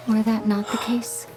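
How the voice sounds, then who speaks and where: An actress playing a composed young warrior princess in a video game, with a gentle, calm and wistful manner. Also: female voice, fairly high pitch. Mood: tender, regretful. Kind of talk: dramatic video game dialogue.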